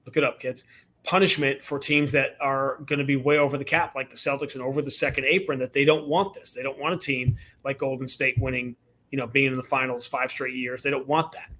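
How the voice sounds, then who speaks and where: A man, a radio play-by-play announcer, giving emphatic sports analysis as a guest, with quick restarts. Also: mid-range voice, level tone, sharp tone. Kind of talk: casual sports interview.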